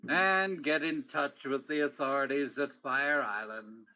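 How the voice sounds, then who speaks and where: An actor playing a terse police detective in an old-time radio crime drama, narrating and questioning in clipped, theatrical phrases. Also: male voice, mid-range voice, measured pace, muffled recording, music in the background, lightly acted. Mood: brisk, urgent. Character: terse male police detective narrating his own case.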